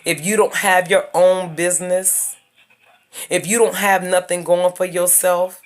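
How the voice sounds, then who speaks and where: A woman's voice: fairly low pitch, little pitch movement, measured pace. A woman in her thirties talking straight to her viewers in a casual advice video, emphatic and preachy, repeating her points. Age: 30s. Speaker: YouTuber giving life advice.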